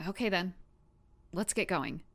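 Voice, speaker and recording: A woman's voice: on the low side, expressive, a little slow, background noise. A woman playing a bossy but caring heroine in an audio drama, matter-of-fact and dry in manner. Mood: blunt concern.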